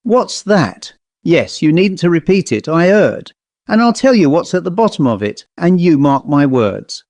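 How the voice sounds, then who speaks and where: A man performs a testy, self-important old-fashioned storybook owl, pitched up from a normal speaking voice. The bird grumbles and complains in uneducated rustic speech, always indignant, in a very dry recording. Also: sing-song, a little slow, a little mellow, no echo. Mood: scornful. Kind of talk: storybook character voice.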